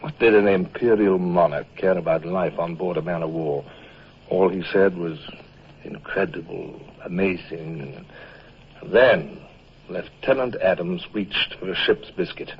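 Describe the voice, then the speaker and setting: An actor playing a grave, eloquent British naval commodore in a formal, oratorical old-time radio drama style. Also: male voice, deep voice, measured pace, slightly rough, dull audio, full character voice. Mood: wry, confiding.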